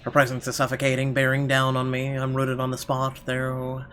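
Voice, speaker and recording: A man's voice: medium pitch, monotone, moderate pace, a bit bright, soft background music. A man reading first-person narration from a visual novel aloud in a dramatic storytelling style.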